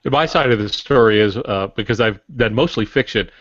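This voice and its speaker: A man talking casually as an author on a podcast, recounting his experiences in a measured, anecdotal way. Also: fairly low pitch, fairly bright tone, no echo. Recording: dull, dry close recording.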